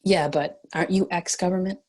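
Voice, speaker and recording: Woman as a husky, determined young heroine. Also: lowish voice, fairly even intonation, a little fast, darkish tone, lightly acted. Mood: defiant. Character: determined young heroine, defiant, bossy and compassionate. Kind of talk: screenplay table read.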